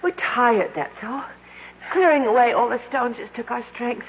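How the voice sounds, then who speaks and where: A frightened young woman, played by a radio actress, speaks in a high, pleading voice with long cavernous reverb. The old radio drama sound is thin and phone-like. She is tender and theatrical in her fear. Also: moderate pace, natural voice. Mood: subdued, tender. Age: young adult. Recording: tinny, noisy radio recording with long reverb.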